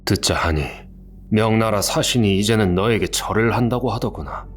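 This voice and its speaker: A man's voice: fairly low pitch, normal speed, close to an everyday voice. A man playing a stern, wrathful old king. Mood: angry. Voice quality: commanding, clipped royal speech. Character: stern aging male king, father, commanding and wrathful. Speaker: voice actor. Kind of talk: audio drama acting.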